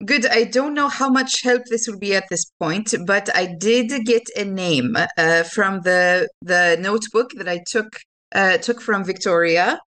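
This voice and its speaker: A woman plays an earnest woman informant in a tabletop game. She talks slowly and haltingly, picking through her information with care. Her pitch sits in the middle range. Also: fairly bright tone, natural voice. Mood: earnest, hesitant. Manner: hesitant, halting. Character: earnest, careful woman informant sharing research by phone. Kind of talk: improvised tabletop role-play dialogue.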